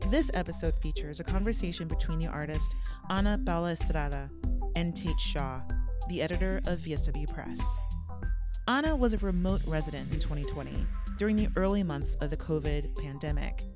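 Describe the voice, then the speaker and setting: A man reading a scripted podcast introduction in a measured way. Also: on the high side. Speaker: podcast co-host and curator. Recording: music bed behind voice.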